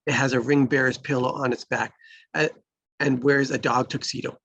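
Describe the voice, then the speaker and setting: A man's voice: mid-range voice, little pitch movement, normal speed, a bit bright, husky. A man reading stage directions as the narrator of a screenplay table read.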